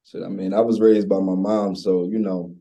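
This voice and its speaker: A man's voice: sits low, flat delivery, moderate pace, mellow. A man in his twenties with a Baltimore accent, chatting casually as an interview guest in a slangy, laid-back way. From Baltimore, USA. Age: 20s. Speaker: interview guest, recording artist. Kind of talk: casual chat.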